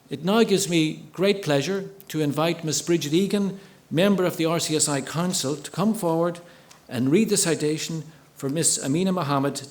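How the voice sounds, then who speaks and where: Man, fairly high-pitched, formal welcome speech, measured ceremonial delivery, college leader. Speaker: college chief executive opening a ceremony. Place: large indoor event venue, before an audience.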